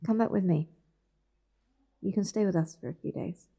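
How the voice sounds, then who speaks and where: A woman's voice: mid-range voice, normal speed, gravelly, warm tone, slightly rough. A woman playing a protective, bossy older sister in a naturalistic audio drama, firm and insistent. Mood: coaxing.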